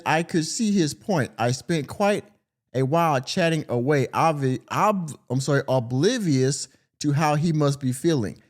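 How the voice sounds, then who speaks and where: A man's voice: mid-range voice, darkish tone. A man, hosting a podcast, who reads an article aloud and breaks in with drawling, sarcastic commentary. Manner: drawling, sarcastic, stretches words for emphasis. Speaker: podcast host.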